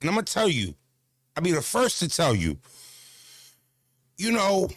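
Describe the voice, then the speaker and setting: A man's voice: high-pitched, wildly swooping pitch, normal speed, a bit bright, no room sound. Profane, rambling man in his thirties. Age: 30s. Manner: loose, rambling, profane, lots of "you know what I mean". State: just worked out at the gym. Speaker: battle rap commentator. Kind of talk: casual vlog talk to camera.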